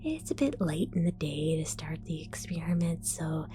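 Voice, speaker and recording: A woman voicing a scatterbrained female mad scientist in an ASMR roleplay, whispering softly, giggling and stammering as she falsely reassures. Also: low voice, lively intonation, moderate pace. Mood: sly whisper. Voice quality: soft whisper.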